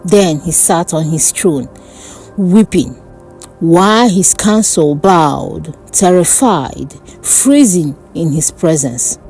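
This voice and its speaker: A woman narrating a dark folk tale as a storyteller, in a deliberate, theatrical manner. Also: fairly low pitch, animated, faint music behind, poor audio.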